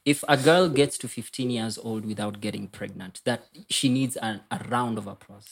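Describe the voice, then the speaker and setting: A man with a Kenyan accent and a husky voice, talking candidly as an interview guest, emphatic and often repeating his phrases. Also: medium pitch, moderate pace. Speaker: podcast guest. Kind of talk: candid personal storytelling interview.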